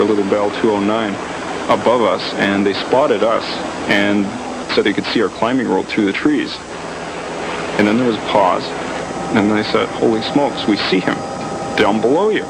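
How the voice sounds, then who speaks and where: A male search volunteer tells a story in interview style. His voice is fairly low with a lot of fry. Clear noise sits behind him on the old tape. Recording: noisy old TV documentary tape.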